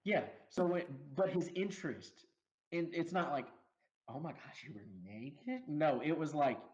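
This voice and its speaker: Co-host, male, lively pitch, chatty movie rundown, slightly dull audio. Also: unhurried. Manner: animated, laughs often, acts out quotes. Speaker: podcast co-host. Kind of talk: casual movie review chat.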